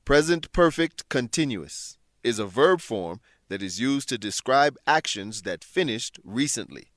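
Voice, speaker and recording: A man hosting and narrating a scripted language lesson, explaining things in a clear, measured teacher's manner. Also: medium pitch, animated, a bit bright, dry recording, clean studio sound. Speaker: host and English teacher.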